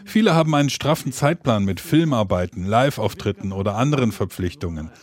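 A man's voice: fairly low pitch, animated, a little fast. A man reading a scripted voice-over translation of an interview.

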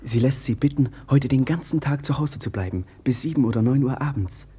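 An eager young lad in a radio play. His voice is dark and extremely husky, with an earnest, confidential delivery. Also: medium pitch, a little fast. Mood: earnest, secretive. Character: earnest, eager youth bringing confidential messages. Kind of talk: classic German radio drama acting.

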